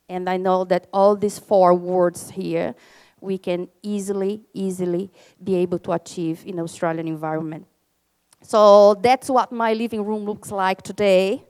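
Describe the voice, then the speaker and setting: A woman with a Brazilian accent, in her thirties, speaks to an audience at a conference. Her voice is smooth and clear, and her delivery is hesitant, with frequent fillers and restarts. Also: medium pitch, darkish tone. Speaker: alumni ambassador speaker. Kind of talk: conference talk with Q&A. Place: conference room.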